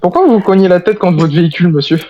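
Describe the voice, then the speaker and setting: A man's voice: high-pitched, quick pace, muffled recording. A man speaking in casual, improvised game roleplay with a bossy manner. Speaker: gamer in a zombie survival roleplay.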